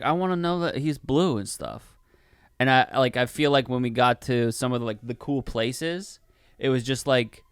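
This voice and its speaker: A man co-hosting a podcast in casual chat, opinionated and halting, often repeating himself as he goes. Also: medium pitch, a bit bright, clear, resonant tone, no echo, clean studio sound. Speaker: podcast co-host. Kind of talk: casual movie review chat.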